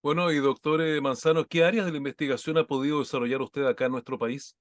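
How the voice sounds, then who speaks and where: A man hosts a television interview show in a formal, measured way and gives his guest a long introduction. The recording sounds dry, with no room echo. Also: a little high, fairly even intonation. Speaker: TV interview host.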